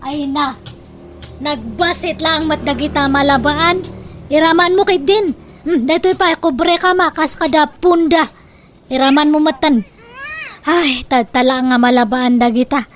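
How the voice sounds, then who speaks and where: A pushy scheming mom, filipino accent, really high voice, radio drama, bit of background noise. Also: female voice, unhurried. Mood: bossy.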